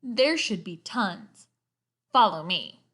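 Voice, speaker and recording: A woman's voice: medium pitch, lively intonation, slow pace, fairly bright tone. A woman voicing a gloomy, earnest young boy in a storybook, with woeful, dramatic delivery. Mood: assured. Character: gloomy, melodramatic, earnest boy in dreadful sweaters.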